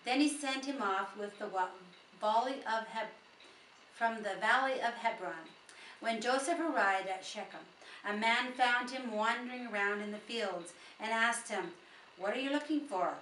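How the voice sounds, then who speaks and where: A woman's voice: fairly high pitch, moderate pace, a bit bright. A woman reading scripture aloud with informal asides, recorded at a distance in a roomy space with faint noise. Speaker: Bible reader.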